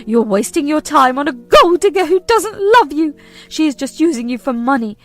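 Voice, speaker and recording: A woman voicing a manipulative, whining older mother-in-law who accuses and pleads in a shrill, melodramatic way. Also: expressive, moderate pace. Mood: shrill pleading.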